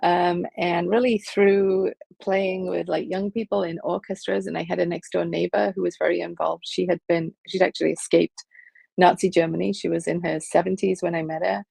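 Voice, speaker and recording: A woman in her fifties, from Liverpool, answering interview questions thoughtfully with frequent pauses and fillers over a video call. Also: lowish voice, flat delivery, gravelly, a little mellow, husky, no room sound. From England, Liverpool. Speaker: film studies professor, guest.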